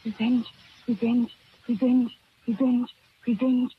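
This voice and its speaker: A woman's voice: fairly high pitch, flat delivery, takes it slowly, slightly rough, heavily acted. An actress playing a young woman driven mad and obsessed with revenge, intense and repetitive, in 1940s radio style. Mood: crazed, chanting. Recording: noisy dull 1940s radio recording.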